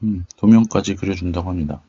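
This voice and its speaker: A man's voice: sits low, fast talker, dark tone, slight background noise. A man hosting a tech demo, thinking aloud in a casual, musing, tentative way as he comments on his screen. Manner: musing, tentative, murmuring to himself. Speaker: host of a tech video channel. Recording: dull, lo-fi microphone sound.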